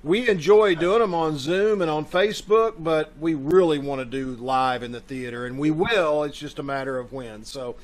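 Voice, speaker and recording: Male community show host, voice on the higher side, informal announcements on a video call. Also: moderate pace, hardly any pauses. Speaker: host of a community radio theater troupe's show. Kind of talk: casual spoken introduction and announcements.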